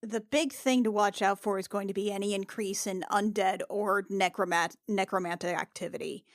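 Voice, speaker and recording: A woman's voice: medium pitch, fairly even intonation, normal speed, no room sound, clean studio sound. A woman playing a tabletop role-playing game, talking informally and casually in character.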